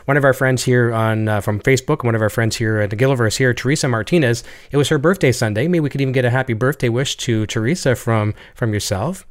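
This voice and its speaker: A man's voice: medium pitch, fast talker, few pauses. A Canadian man hosting an interview, chatty and eager, reading out viewer messages. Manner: chatty, enthusiastic, reads viewer comments. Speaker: show host.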